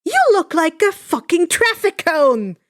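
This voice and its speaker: A woman voicing a brash, foul-mouthed, domineering woman who argues loudly in a story reading. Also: extremely high pitch, big pitch swings, a little slow, voice pushed up, heavily acted. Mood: combative. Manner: shouting, swearing, domineering.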